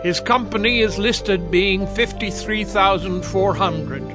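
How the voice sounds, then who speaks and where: A man's voice: high-pitched, unhurried. A man giving a formal, declamatory reading of scripture from a script. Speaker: narrator. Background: prominent music.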